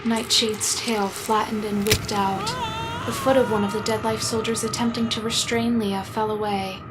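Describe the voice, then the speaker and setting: A woman's voice: medium pitch, level tone, normal speed, heavy background noise. A woman narrator reading a scripted dramatic story for an audio fiction serial.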